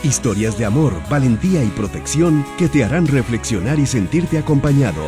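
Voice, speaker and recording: Promo voice guy, lively swoopy pitch, music under it. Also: a little fast. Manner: dramatic announcer delivery. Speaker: promo announcer. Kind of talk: TV promo voice-over.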